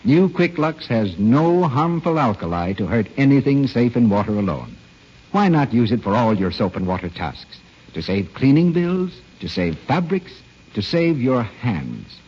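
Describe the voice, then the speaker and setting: Very animated radio announcer, male. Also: mid-range voice, measured pace, slightly rough. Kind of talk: 1930s radio scripted announcing. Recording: old muffled radio broadcast, faint music.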